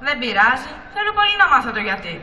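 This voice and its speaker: Young teen playing brave sea rescuer, piercingly bright. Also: high-pitched, big pitch swings, heavily acted. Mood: concerned. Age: young teenager. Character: brave, kindly male sea rescuer named Matt. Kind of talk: amateur school puppet theatre acting. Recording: echoey reverberant hall recording.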